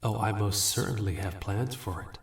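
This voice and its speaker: Man as a low, smug demon dealmaker. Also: a little hiss or hum. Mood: smug, businesslike. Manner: smooth, condescending, teasing, unhurried courtesy. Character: suave, amused, bargaining male demon, ageless and smug. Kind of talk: scripted fantasy audio roleplay addressed to listener. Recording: roomy echo, distant from mic.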